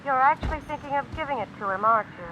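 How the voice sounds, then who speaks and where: A woman's voice: high voice, lively intonation, racing. An actress in an old radio drama playing a man's concerned sweetheart, probing and insistent. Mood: disbelieving, suspicious. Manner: insistent, questioning. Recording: dull, noisy old radio recording.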